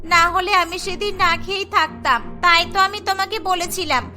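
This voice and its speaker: A woman's voice: extremely high pitch, normal speed, sharp tone, soft background music, exaggerated voice. A woman voicing a kind, loyal young schoolgirl in a cartoon, speaking earnestly. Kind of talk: cartoon dialogue.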